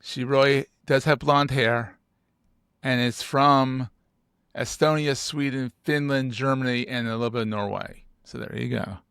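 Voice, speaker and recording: This is a man from Philadelphia hosting a podcast, chatting casually with a guest. His voice has a gravelly edge, and the sound is dry and close. He talks in an animated way, cracks jokes, and repeats words for emphasis. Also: mid-range voice, unhurried, no room sound. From United States. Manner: animated, joking, emphatic repetitions. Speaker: podcast host. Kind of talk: casual interview chat.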